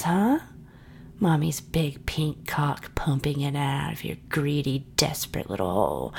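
Slow, husky whispering woman with heavy fry. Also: slight background noise. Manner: soft, coaxing and doting, slipping into whispers; breathy sighs and low murmurs. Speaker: audio roleplay performer. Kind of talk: intimate whispered audio roleplay.

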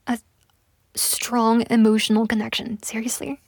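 A woman's voice: a little high, no room sound. A young woman voicing a pushy, entitled woman on a date in a one-sided audio roleplay, talking in a close, whispery way with lots of swearing. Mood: scoffing. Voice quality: husky, breathy, gravelly. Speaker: voice actor.

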